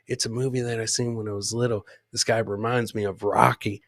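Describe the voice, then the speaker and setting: A man's voice: lowish voice, flat delivery, a little fast, no echo, studio quality. Casual, rambling man hosting live comedy. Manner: informal, rambling, addresses viewers as guys and man. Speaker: live comedy podcast host. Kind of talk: casual live-stream chat, riffing on clips and reading viewer comments.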